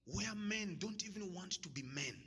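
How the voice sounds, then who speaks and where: Bright-voiced Zambian man preaching in a hall. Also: sits high, animated, normal speed, husky. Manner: emphatic, repeats key phrases, laughs at own jokes. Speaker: motivational speaker. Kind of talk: motivational talk to an audience. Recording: long hall reverb.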